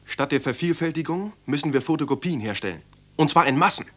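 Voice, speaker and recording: An eager resistance activist in a radio play. His fairly high voice is animated and emphatic, issuing clipped, urgent phrases. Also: fast talker. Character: eager male resistance activist urging mass leafleting. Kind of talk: radio drama dialogue.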